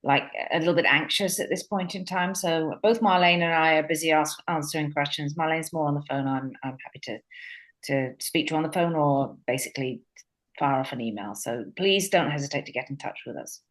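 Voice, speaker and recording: A woman co-organizing a tour, wrapping up a group video call in a brisk, reassuring way. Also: low-pitched, poor audio.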